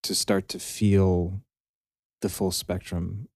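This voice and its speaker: A young man co-hosting a podcast, speaking thoughtfully and hesitantly, with a soft manner. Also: sits low, flat delivery, takes it slowly, no echo, studio quality. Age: young adult. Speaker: podcast co-host. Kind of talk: casual chat.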